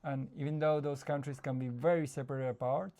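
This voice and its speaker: Gravelly-voiced Argentine man, thoughtful. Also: mid-range voice, steady tone, a little fast, mellow. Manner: thoughtful, searching for words, frequent restarts, laughs easily. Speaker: psychologist guest. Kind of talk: casual conversational interview.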